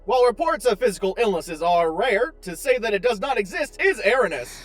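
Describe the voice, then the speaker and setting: Man acting a high, lilting spaceship guide AI. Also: animated, brisk, faint music behind. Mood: correcting. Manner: formal, precise, didactic. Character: pedantic, chatty spaceship tour guide AI. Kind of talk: scripted announcement and narration.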